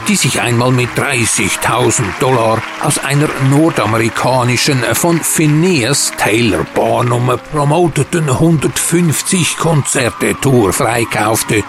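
A man hosting and narrating from a script in formal, ornate phrasing. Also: medium pitch. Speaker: narrator and host of audio drama show.

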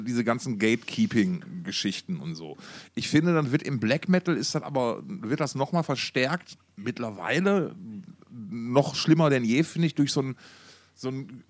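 Casual, wry man telling podcast anecdotes. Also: mid-range voice. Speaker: podcast co-host.

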